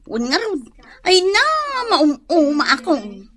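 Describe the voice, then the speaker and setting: A woman's voice: sits high, very animated, takes it slowly, fairly bright tone, full character voice. A woman acting an upset, lovesick beauty parlor worker in an Ilocano radio comedy drama, plaintive and dramatic. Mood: upset, tearful. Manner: plaintive, whining.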